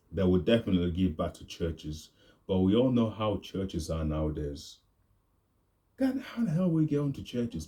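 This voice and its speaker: Deep-voiced laid-back young man. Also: dark tone. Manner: deliberately deepened, laid-back voice. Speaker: vlogger. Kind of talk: rambling casual monologue.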